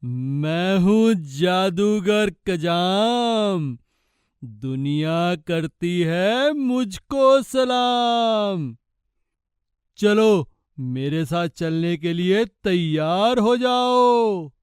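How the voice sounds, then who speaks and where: Evil cartoon wizard, gloating and menacing, super slow, pitched high for a man, echoey w music. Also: animated, smooth, studio quality, full character voice. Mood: boastful. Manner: slow, drawn-out, boastful menace with villain laughs. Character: evil, terrifying, gloating male sorcerer. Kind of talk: Hindi children's cartoon voice acting.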